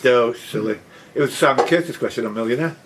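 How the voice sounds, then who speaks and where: A man's voice: mid-range voice, brisk. A man taking part in a quiz, giving short answers and casual banter. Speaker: quiz contestant. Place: sports and social club room. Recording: noisy club room with clicks and buzzers.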